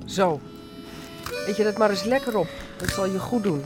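A woman's voice: medium pitch, normal speed, music in the background, lightly acted. An actress playing a caring, soothing and chatty middle-aged village mother in a naturalistic radio drama. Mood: motherly concern. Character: motherly, caring, hopeful older village woman.

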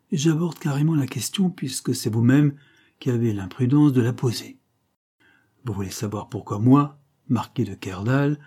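A man's voice: mid-range voice, husky, pitched above the performer's own voice, natural voice. A man voicing a stern older aristocrat who scornfully accuses his nephew. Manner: forceful, indignant reproach.

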